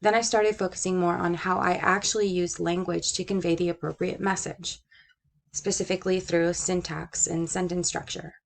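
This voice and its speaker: A woman's voice: on the low side, little pitch movement, moderate pace, vocal fry. A young woman in her twenties, from Puerto Rico, with a slight Brooklyn-tinged American accent, earnestly narrating her own story as a teacher. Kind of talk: personal narration read from a script.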